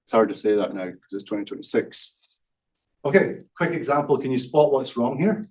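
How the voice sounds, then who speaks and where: A Scottish man in his forties pitches his startup at a community event, filling gaps with ums and joking at his own expense. His voice sits in an ordinary middle range and comes across a bit distant from the mic on stage. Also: expressive, normal speed, darkish tone. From Scotland. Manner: self-deprecating, many ums and uhs. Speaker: startup founder presenting. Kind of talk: live pitch presentation.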